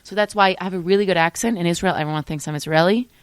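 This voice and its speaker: Low-voiced young woman, dry sound. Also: rapid-fire, clean tone, no room sound. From Switzerland. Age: young adult. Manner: animated, trails off and restarts often. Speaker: musician guest. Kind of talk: casual interview chat.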